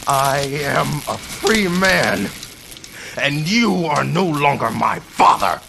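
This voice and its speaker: Slow, gravelly long-suffering son. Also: male voice, fairly high pitch, big pitch swings, background noise, full character voice. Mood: defiant. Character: long-suffering son, bound man pleading then defiant.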